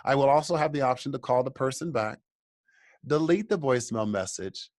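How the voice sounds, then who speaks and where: A man presenting a software tutorial, explaining each step and speaking directly to the viewers in an instructional way. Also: medium pitch, expressive, brisk, no room sound, clean studio sound. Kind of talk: software tutorial walkthrough, semi-scripted explanation.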